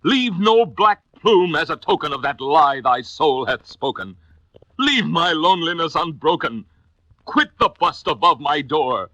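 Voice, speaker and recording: A man's voice: a little high, pushed and bright, no room sound, pitched above the performer's own voice, full character voice, rough recording. A man playing a grief-maddened, desperate man in an impassioned, theatrical 1950s poetry recitation. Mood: furious shrieking.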